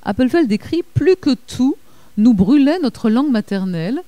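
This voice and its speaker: A woman delivers an academic talk in a dark, mellow voice. She speaks in a measured way, stopping on fillers and correcting herself now and then. The sound is dry and close, with little room around it. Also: a little high, expressive, no room sound. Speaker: lecturer. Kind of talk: academic lecture reading quotations.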